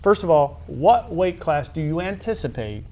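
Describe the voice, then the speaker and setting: A man's voice: a little high, very animated, normal speed, dark tone. A middle-aged American man hosting a conversational sports interview, speaking emphatically and putting questions to his guest. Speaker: talk show host, high school athletic director.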